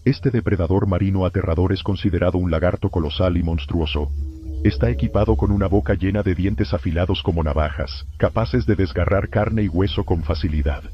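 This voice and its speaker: Guy doing a grave deep emergency broadcast announcer, husky, solemn analog horror warning, muffled audio. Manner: solemn, formal, measured.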